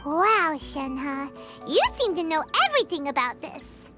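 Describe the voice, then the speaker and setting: A tiny fairy sidekick from a video game with an extremely high, childlike voice. Her delivery swings wildly in pitch, exclamatory and cheeky, always excitable. Loud music plays behind her. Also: a little slow. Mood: excited. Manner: exclamatory, refers to self in third person. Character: small excitable chatty fairy companion girl, childlike and cheeky. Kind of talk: animated game voice acting.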